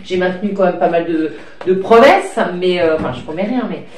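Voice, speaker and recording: A woman's voice: fairly low pitch, animated, brisk. Stuffy-voiced woman in an echoey room. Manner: rambling, hesitant, with many fillers; affectionate, talks to her pet and child. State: has a cold, coughing. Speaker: tarot card reader. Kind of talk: casual card-reading chat. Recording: echoey room, distant mic, light noise.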